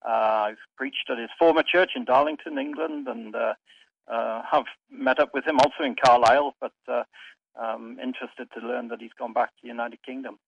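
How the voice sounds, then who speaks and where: A man from Wales, a pastor answering in a radio interview, hesitant with many pauses. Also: mid-range voice, expressive, vocal fry, breathy edge, no echo, dull audio. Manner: hesitant, frequent uhs, trailing off softly. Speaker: pastor and guest.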